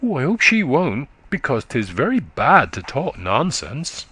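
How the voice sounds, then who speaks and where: A man's voice: mid-range voice, huge pitch swings, a little slow, sharp tone, breathy edge, pitched above the performer's own voice, heavily acted. Man doing a piping little country boy. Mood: curious. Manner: childlike, piping, dialect speech. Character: small chattering country boy.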